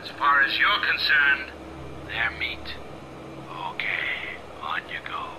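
Sadistic, swooping, slow-drawn director. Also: male voice, mid-range voice, big pitch swings, background noise. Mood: instructing. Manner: coaxing, menacing, theatrical. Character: sadistic male snuff-film director ordering a captive around. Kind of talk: video game voice acting.

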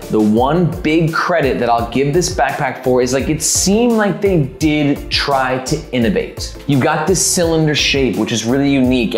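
A man in his thirties talks straight to the camera in a loose, slangy way, blunt and animated as he rates a backpack. His voice sits at an ordinary middle pitch. The office room around him rings with echo. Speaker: backpack reviewer and channel host. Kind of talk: casual direct-to-camera review. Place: office room with glass walls. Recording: echoey room.

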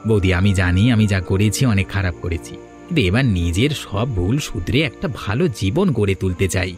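Man voicing a sad earnest younger brother in a cartoon, lowish voice, kinda pleading and unsure. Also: moderate pace, music in the background, lightly acted. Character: downcast, earnest young wastrel younger brother seeking redemption. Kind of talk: dramatic cartoon dialogue.

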